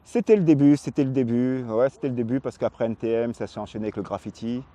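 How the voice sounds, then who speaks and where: Dark-voiced man, hesitant and slangy. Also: medium pitch, quick pace. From Paris, France, born in Réunion. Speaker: interviewee, hip-hop graffiti artist. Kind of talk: casual interview chat.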